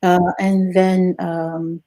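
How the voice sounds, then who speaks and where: A woman's voice: lowish voice, little pitch movement, drawn-out words. A woman in her sixties from Algeria, a native Arabic speaker, answering interview questions as a guest with frequent laughs and fillers. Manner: warm, laughs often, fillers. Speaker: guest, retired Arabic teacher.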